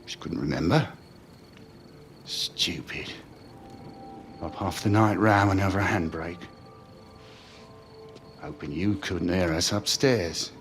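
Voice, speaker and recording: A man playing a middle-aged estranged British father, pleading and regretful, speaking earnestly and haltingly in a dramatic game cutscene. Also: sits low, a bit bright.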